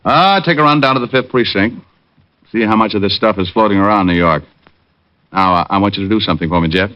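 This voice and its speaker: An American actor playing a cocky, wry private detective in an old radio drama. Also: male voice, on the low side, fast, lightly acted. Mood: friendly, wry. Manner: wry, bantering, deadpan teasing. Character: wisecracking, cocky male private detective, former cop. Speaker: lead actor and narrator. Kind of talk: 1940s-50s radio detective drama acting. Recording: dull vintage radio recording.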